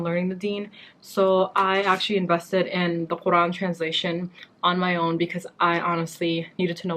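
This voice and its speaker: A woman's voice: fairly low pitch, fairly bright tone, a little hiss or hum. A young woman whose first language is Somali, vlogging earnestly to her viewers and often calling them "you guys.". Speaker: beauty and lifestyle vlogger. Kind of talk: casual talk to camera.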